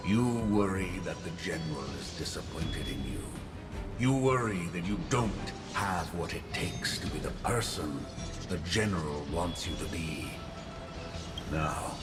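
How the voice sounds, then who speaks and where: An actor voicing a menacing old swordmaster in a video game, theatrical and taunting, with swells into force. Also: male voice, low voice. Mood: sly, coaxing. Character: menacing old male swordmaster goading his young pupil. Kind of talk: dramatic video game voice acting. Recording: loud music under the voice.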